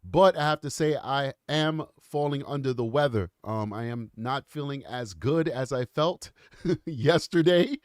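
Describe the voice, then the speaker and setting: A man's voice: medium pitch, measured pace, no echo, clean studio sound. A man with a cold and a congested voice, hosting a casual livestream in a chatty, rambling way and laughing often. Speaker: show host.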